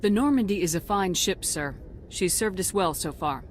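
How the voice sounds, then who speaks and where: A woman's voice: fairly low pitch, animated, normal speed, a little hiss or hum, natural voice. A woman playing a composed, dutiful military starship commander in a video game, speaking formally and respectfully. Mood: respectful, firm.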